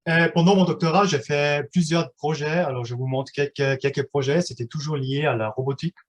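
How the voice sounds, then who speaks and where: A man's voice: fairly high pitch, fairly even intonation. Hesitant man presenting, full of fillers. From German-speaking Switzerland. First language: German. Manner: halting, many "euh" fillers and restarts. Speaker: researcher giving an online workshop. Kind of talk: live online presentation.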